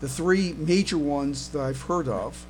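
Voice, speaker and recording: A man hosting a casual podcast discussion, speaking haltingly and repeating words, over a noisy internet call. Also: a little high. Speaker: podcast host.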